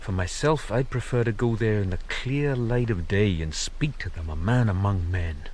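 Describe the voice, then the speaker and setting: A man plays a thoughtful woodsman of the old frontier. The voice is very deep, steady and plain-spoken, a radio drama performance over faint background noise. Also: normal speed, natural voice, low-quality recording. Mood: reflective.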